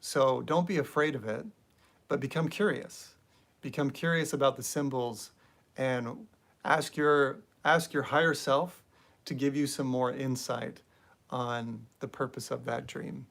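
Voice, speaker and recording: A young adult man hosting a spiritual live stream, talking in a relaxed, rambling way with many fillers and reading viewer comments aloud. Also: medium pitch, unhurried, vocal fry. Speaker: spiritual channel host.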